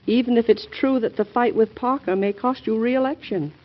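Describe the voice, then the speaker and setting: An actress playing a president's affectionate, playful wife, tender and gently teasing in classic radio drama style. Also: female voice, on the high side, moderate pace, lightly acted. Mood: fond. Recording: dull, noisy vintage radio recording.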